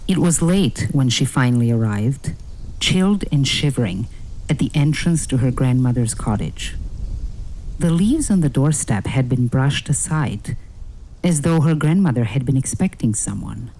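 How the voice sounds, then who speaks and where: A woman narrating a scripted fairy tale in a measured, deliberate way. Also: very deep voice, lively intonation, mellow, rough recording.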